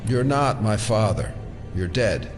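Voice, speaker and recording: A captive American federal agent in an audio drama. He is wry and defiant, dropping sardonic asides in a middle-aged, lowish voice. Music plays clearly behind him. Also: expressive, takes it slowly. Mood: angry, disbelieving.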